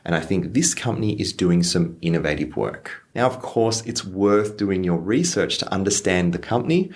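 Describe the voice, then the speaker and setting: A young man teaching English phrases to learners, slowing down on example lines. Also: deep voice. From Australia. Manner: lively, stresses example phrases slowly. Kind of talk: clear teaching explanation for learners.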